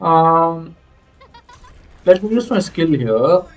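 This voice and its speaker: Higher-pitched man, halting game commentary. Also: animated, highs cut off. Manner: explanatory, halting, hesitant. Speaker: gaming video creator.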